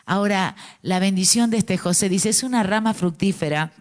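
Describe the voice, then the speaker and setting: A woman in her forties from Mendoza with an Argentine accent, preaching a sermon with fervent, drawn-out emphasis. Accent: Argentine Spanish. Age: 40s. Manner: fervent, drawn-out emphatic delivery with pitch swings.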